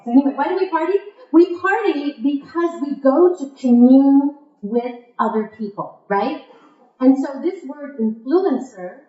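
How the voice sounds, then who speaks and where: A woman speaking to a conference audience like a theatrical storyteller, with rhetorical questions and emphatic pauses, in a very echoey, muffled recording. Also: a little high, warm tone. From Croatia. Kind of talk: marketing keynote to a live audience. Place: indoor conference stage.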